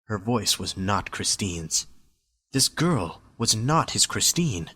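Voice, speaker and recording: A man's voice: fairly low pitch, big pitch swings, slow pace, bright, forceful tone, breathy edge. A man reading scripted story narration as the narrator of an amateur audio drama.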